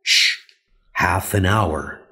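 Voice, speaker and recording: A man voicing a weary, conspiratorial middle-aged newspaperman, guarded and urgent in a hushed manner. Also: sits low, very slow, background noise, pitched below the performer's own voice. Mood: furtive, urgent.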